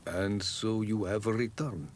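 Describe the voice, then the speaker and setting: An actor playing a wise, solemn elder of an island tribe in a fantasy game, speaking in a measured, ceremonial way. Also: male voice, lowish voice, slight background noise. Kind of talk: fantasy video game cutscene acting.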